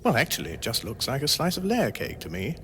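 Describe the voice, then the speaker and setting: A man's voice: mid-range voice, wildly swooping pitch, quick pace, a bit bright. An actor playing a bewildered, hapless but polite Englishman in a radio comedy, with a hesitant, mildly querulous manner and an English accent. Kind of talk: radio comedy drama acting. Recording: echoey reverberant radio drama, faint noise.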